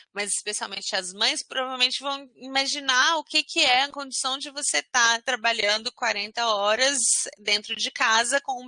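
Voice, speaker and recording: A woman's voice: fairly high pitch, pushed and bright, no echo. A woman in her thirties from Minas Gerais with a Belo Horizonte accent, a university professor giving an online class from home over a video call, conversational and dotting her sentences with "né?". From Minas Gerais, Brazil. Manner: conversational, frequent "né?" tags.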